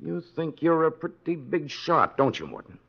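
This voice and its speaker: An actor playing a wary, stern mine boss with an American accent, speaking forcefully in a 1940s radio drama. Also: male voice, fairly high pitch, very animated, moderate pace, vocal fry, exaggerated voice. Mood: stern warning. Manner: forceful, cautioning. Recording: muffled 1940s radio recording, faint music.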